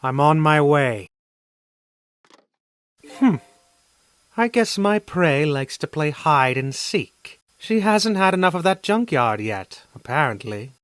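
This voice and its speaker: An actor playing a cool hired hunter in a game fandub, muttering deliberately. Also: mid-range voice, very animated, dry recording. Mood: menacing, unhurried. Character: cool, slow-talking hired hunter stalking prey.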